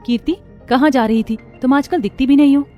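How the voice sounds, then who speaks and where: A woman acts a middle-aged mother-in-law in a cartoon family story. She sounds caring and approving, her voice a little high, with faint music underneath. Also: brisk, highs cut off, natural voice. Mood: pleased, friendly.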